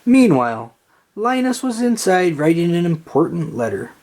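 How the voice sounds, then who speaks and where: Animated children's storybook reader. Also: mid-range voice, moderate pace. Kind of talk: expressive read-aloud storytelling.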